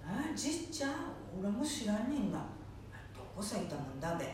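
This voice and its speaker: A woman's voice: medium pitch, very animated, fast talker, darkish tone, close to an everyday voice. A woman playing a blunt, teasing old grandmother with a Nanbu dialect in an amateur radio drama. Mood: sleepy, puzzled. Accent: Nanbu dialect, northeastern Japan. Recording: very echoey, distant, faint noise.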